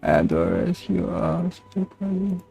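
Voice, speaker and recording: A man's voice: sits high, huge pitch swings, slow pace, creaky, warm tone, slightly rough, faint music behind. A man livestreaming a game with casual, laid-back, chatty commentary. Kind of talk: casual live gaming commentary.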